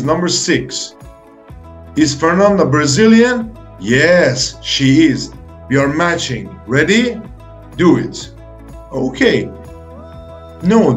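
A young man teaching English to beginners step by step, speaking in short, deliberate phrases with an encouraging manner. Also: a little high. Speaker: English teacher hosting a video lesson. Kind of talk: slow, step-by-step instructional talk for beginners.

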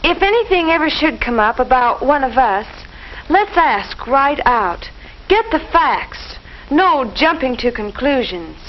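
A young woman playing a cautious, sensible teenage girl in a 1950s school film, speaking in a careful, gentle way. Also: high voice, big pitch swings, a little slow. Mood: worried. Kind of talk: 1950s educational film acting. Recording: muffled old film soundtrack with hiss.

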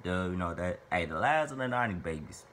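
A young man from Arkansas talks to his camera in a small bedroom, loose, rambling and profane. His voice sits very low yet comes across bright and forceful. A faint hiss of background noise sits under the close webcam sound. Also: expressive, fast. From Little Rock, Arkansas. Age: 20s. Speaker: vlogger answering fan questions. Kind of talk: casual Q&A monologue to camera.

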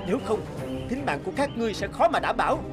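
An actor playing a proud, ambitious young warrior prince in a cartoon fairy tale, speaking in forceful, declamatory commands. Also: male voice, very high voice, lively intonation, fast. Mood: threatening. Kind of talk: dubbed animated fairy tale acting. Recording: loud music under the voice.

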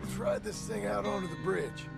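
A nervous young outlaw. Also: male voice, high-pitched, huge pitch swings, normal speed, gravelly, over loud music, heavily acted. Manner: nervous and earnest. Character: anxious young male outlaw, family man. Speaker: outlaw gang member.

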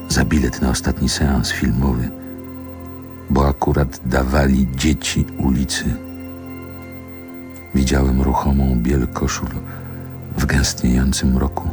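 Very deep, slow, brooding man reminiscing. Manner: measured, deliberate phrasing. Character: brooding grown man lyrically recalling a rough riverside city childhood. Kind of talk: poetic radio play monologue. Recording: music under the voice.

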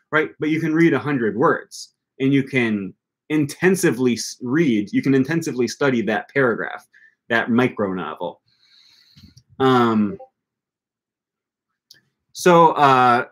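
A man's voice: medium pitch, animated, unhurried, pauses often, fairly bright tone. Young guy on a livestream, chatty and upbeat, lots of ums, close desk mic, small room. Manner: upbeat, thinks aloud with many ums and restarts. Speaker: language-learning channel host. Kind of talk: casual livestream chat reading comments. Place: small room with bookshelf, indoors. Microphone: desk microphone close in front.

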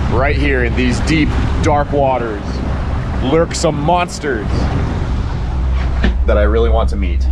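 A man in his thirties talks straight to an action camera from a small boat cabin, easy-going and quick to get excited. Engine rumble and water noise sit clearly behind his voice. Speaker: fishing vlogger. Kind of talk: solo outdoor vlog narration.

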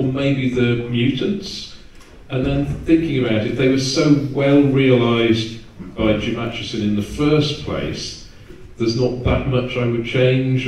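A man speaks casually as a panel guest. His voice is mellow and mid-pitched. He sounds far from the microphone, in a hall with strong echo. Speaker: convention panelist. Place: stage in a hall, recorded from audience camera. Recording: echoey hall from far off, noisy.

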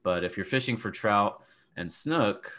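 A man's voice: lowish voice, expressive, measured pace, pushed and bright. A young man giving practical fishing advice in a casual panel chat over a video call. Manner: plain, practical explaining. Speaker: fishing coach.

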